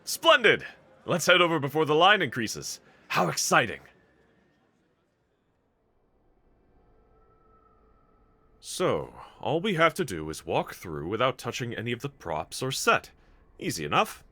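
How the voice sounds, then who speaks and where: A man's voice: mid-range voice, wildly swooping pitch, moderate pace, pushed and bright, no room sound, heavily acted. A man playing a bold, earnest, reassuring demon slayer swordsman in an anime audio roleplay, speaking with formal, courteous diction. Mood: excited.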